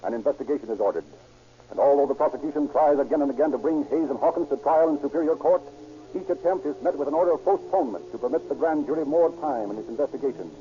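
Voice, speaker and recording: A man's voice: fairly high pitch, fast talker, pauses often. A man delivering scripted, dramatic narration in the style of a 1940s radio crime show. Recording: muffled vintage radio recording, loud music. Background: music.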